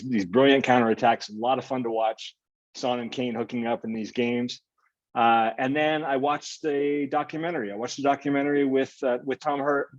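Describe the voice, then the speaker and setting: A man from the United States talks through a story in easy, meandering podcast chat, full of fillers and restarts. The sound is dull and dry, as if from a modest mic. His pitch sits in an ordinary middle range. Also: dry recording. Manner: relaxed, rambling storytelling with many fillers. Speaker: podcast co-host. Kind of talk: casual chat.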